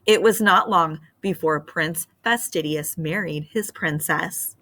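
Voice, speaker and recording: Teacher, middle-aged woman; animated storybook reader, bright tone, dry close mic. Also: sits low, unhurried, no echo. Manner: expressive and animated. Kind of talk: storybook read-aloud and lesson. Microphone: webcam on a screen share.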